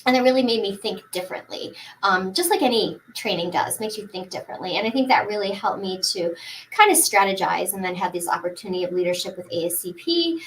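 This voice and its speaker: A woman's voice: medium pitch, lively intonation, quick pace, a little hiss or hum, rough recording. A woman in her forties, a pharmacist guest in a podcast interview, talking earnestly and fluently with frequent fillers and restarts. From United States.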